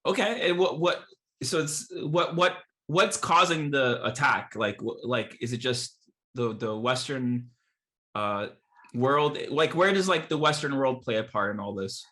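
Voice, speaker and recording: A man's voice: medium pitch, a little slow, pushed and bright. A man in his thirties hosting a podcast interview, asking friendly questions with lots of fillers and restarts. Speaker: podcast host and pastor. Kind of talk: casual interview chat.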